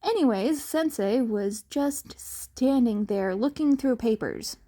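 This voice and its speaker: A woman's voice: on the high side, lively intonation, slow, warm tone. A woman narrating fan fiction as an amateur audiobook reader.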